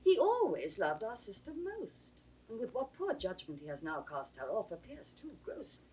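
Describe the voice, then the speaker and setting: A woman playing a cold, calculating princess in classical Shakespearean style, husky and breathy, urgent and conspiratorial. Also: mid-range voice, wildly swooping pitch, normal speed, a little mellow, full character voice, rough recording. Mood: cold, plotting. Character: cold, calculating princess plotting against her aged father. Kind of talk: classical Shakespearean stage acting.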